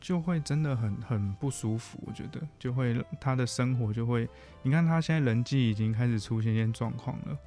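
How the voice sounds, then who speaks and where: A man's voice: medium pitch, fairly quick, dark tone, faint music behind. A man with a Taiwanese Mandarin accent explaining things as a guest counselor, informal and somewhat hesitant, often repeating himself. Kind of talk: casual explanatory interview talk.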